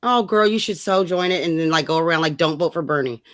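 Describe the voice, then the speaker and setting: A woman's voice: sits low, quick pace, no echo, clean studio sound. A woman co-hosting a rant show in casual banter, blunt and mocking, swearing freely. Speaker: co-host of a political rant show.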